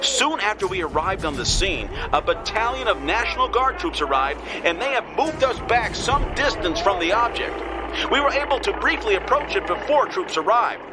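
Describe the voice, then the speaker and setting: A radio drama field reporter, played by a man, with a very high male voice. He reports live and uneasily, battling heavy noise around him outdoors. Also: very animated, moderate pace. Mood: tense reporting. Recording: noisy outdoor location sound.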